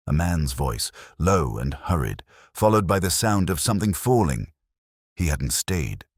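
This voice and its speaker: A very low male voice, dry and close, with a lot of movement in its pitch. a weary police inspector in an audio mystery drama, recounting his case in measured, literary phrases with a brooding, reflective air. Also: sing-song, breathy edge, no echo, full character voice. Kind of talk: scripted first-person mystery narration.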